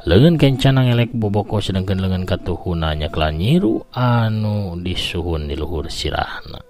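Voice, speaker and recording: An older man with a Sundanese accent, a folk tale storyteller and host speaking in an expressive, folksy, conversational way. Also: sits low, normal speed. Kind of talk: expressive storytelling and reading out listener messages.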